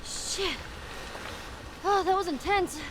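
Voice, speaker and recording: A feisty teenage girl in a survival video game. The voice is high, blunt and sardonic, with noisy ambience around it. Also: slow. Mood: tense, relieved. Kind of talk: video game cutscene acting.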